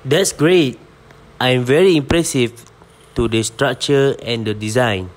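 A man's voice: mid-range voice, unhurried, natural voice. A young man with a Malaysian accent playing a polite, curious tourist in an amateur audio drama, stilted in delivery. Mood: polite, interested. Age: young adult.

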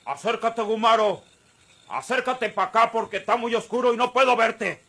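Gravelly, menacing Mexican ranch boss. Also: male voice, very high voice, normal speed, bright, forceful tone, full character voice. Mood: shouted threat. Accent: rural northern Mexican. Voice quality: gravelly, husky. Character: menacing, suspicious rural Mexican boss on a manhunt. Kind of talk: old Mexican radio serial acting.

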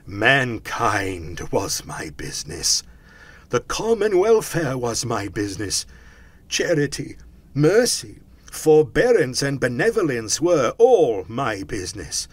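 A man voicing a tormented, mournful ghost in a storybook reading, with slow, drawn-out declamation. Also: medium pitch, wildly swooping pitch, slightly rough, no room sound, full character voice. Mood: anguished, wailing. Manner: slow, drawn-out, declamatory delivery with wailing cries. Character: tormented male ghost, mournful and solemn warning spirit.

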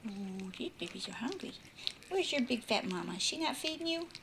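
A woman's voice: on the high side, very animated, a little fast. A woman cooing in baby talk to puppies, drifting between sing-song calls and whispers, filmed indoors on a handheld phone held close, with a noisy recording. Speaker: dog breeder. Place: indoors at a puppy bed.

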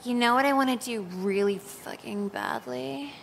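A sarcastic teenage girl in a visual novel. Her voice sits on the high side, and she is deadpan, profane and jaded. Clear noise sits behind the voice. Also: moderate pace. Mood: flippant.

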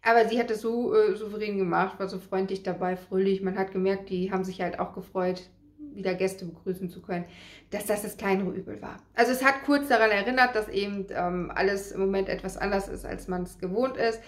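A woman's voice: mid-range voice, fairly even intonation, a little fast. Chatty woman in an echoey room. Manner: chatty, with frequent fillers. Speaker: vlogger. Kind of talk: casual to-camera chat. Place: small room at home with framed photos on the wall. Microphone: camera in front of her at desk distance.

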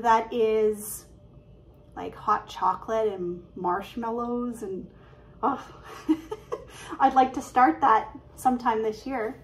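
A woman, chatting excitedly and quickly about her craft projects from her living room, filled with "um"s and little laughs. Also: fairly high pitch, a little hiss or hum. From Canada. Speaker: cross-stitch vlogger. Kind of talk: casual show-and-tell chat. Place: living room at home, indoors. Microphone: webcam at desk distance.

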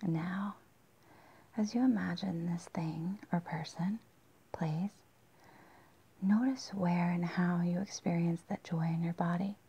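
Hushed, breathy woman guiding a slow meditation. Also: lowish voice, fairly even intonation, highs cut off. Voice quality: breathy, husky. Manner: soft, breathy, near-whispered delivery with long pauses. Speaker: meditation guide. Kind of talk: guided meditation.

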